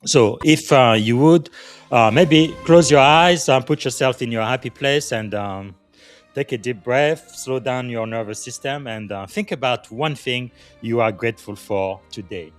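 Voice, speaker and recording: A man from Congo hosting a live podcast, leading a gratitude moment in an earnest, reflective way with halting phrases. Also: medium pitch, soft background music. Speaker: podcast host.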